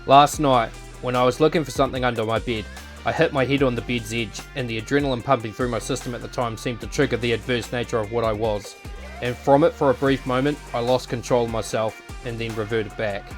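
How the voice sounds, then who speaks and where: A man's voice: medium pitch, fairly even intonation, brisk, close to an everyday voice. Man acting a cocky young fighter with music behind. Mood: calm, reflective. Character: cocky young male fighter with a twisted, manic dark side. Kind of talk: visual novel voice acting. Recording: voice over background music.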